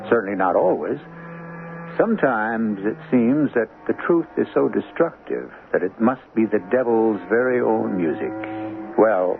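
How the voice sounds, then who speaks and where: A man's voice: lowish voice, vocal fry. A man hosting a radio drama with theatrical scripted narration, wry and rhetorical. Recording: very noisy, narrow-band old radio recording.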